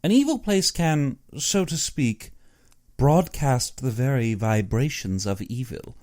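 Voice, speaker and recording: A man voicing an uneasy, superstitious big-game hunter in an audiobook, earnest and brooding in his expressive delivery. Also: medium pitch, slow, voice pushed up. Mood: uneasy, reflective. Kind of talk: audiobook character dialogue.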